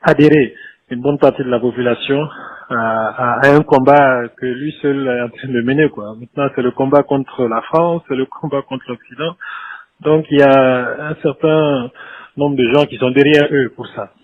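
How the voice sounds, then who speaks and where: A tinny telephone recording of a man with a medium-pitched voice. He gives an informal briefing in a halting, deferential way, often pausing and repeating words. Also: fairly even intonation, slight background noise. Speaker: former government official briefing by phone. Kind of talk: informal phone conversation. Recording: tinny, band-limited phone line.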